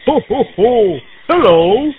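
Jolly old christmas gift-giver, high and slow, hearty ho-ho-ho, tinny phone line. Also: male voice, lively intonation, slight background noise, exaggerated voice. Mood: jolly, doting. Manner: drawn-out, hearty ho-ho-ho delivery. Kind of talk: pre-recorded prank call acting.

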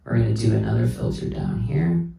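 A man's voice: very deep voice, wildly swooping pitch, fairly quick, creaky, mellow. A man giving a casual hands-on tutorial, talking through each step unhurriedly as he works as a sound instructor. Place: indoor room with sound system. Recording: roomy, distant mic, PA sound.

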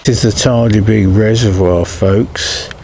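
A man's voice: lowish voice, fairly even intonation, measured pace, darkish tone, slight background noise. An older English man chatting casually as he rides along a canal towpath, filming for his own vlog. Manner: chatty, keeps saying folks and follow me. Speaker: vlogger. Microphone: cabled mic while riding.

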